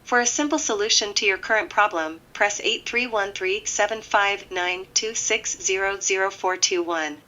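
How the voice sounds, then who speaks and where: Automated phone system voiced by a woman, crisp bright edge, dull lo-fi sound, deadpan and eerily calm, dark comedy. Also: mid-range voice, natural voice. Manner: deadpan, measured recitation. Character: eerie automated phone menu voice, calmly absurd.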